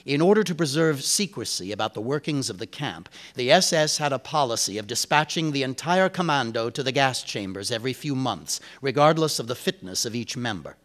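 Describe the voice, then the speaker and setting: Man reading a literary story, measured and deliberate. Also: medium pitch, animated, fairly bright tone. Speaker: reader of a short story. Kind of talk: dramatic literary reading, first-person narration.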